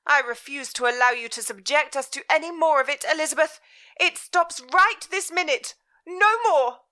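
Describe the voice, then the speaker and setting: Lady narrator putting on an angry victorian mom, high shrill yelling voice, sharp edge. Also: moderate pace. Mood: furious. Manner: shrill, scolding, near shouting. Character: furious Victorian mother, middle-aged woman.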